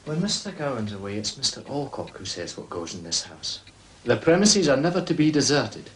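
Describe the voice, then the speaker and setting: An actor playing a gruff, impatient manservant in a period mystery drama, blunt and scolding. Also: male voice, mid-range voice, sing-song, brisk, close to an everyday voice, poor audio. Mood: brusque, scolding.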